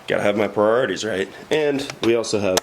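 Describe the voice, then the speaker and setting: Young guy, nasal from a cold, lowish voice, rambling loose garage vlog with light noise behind. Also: fairly quick, vocal fry. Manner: loose, profane, rambling with many fillers. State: stuffy nose from a cold. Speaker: car builder vlogger. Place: home garage.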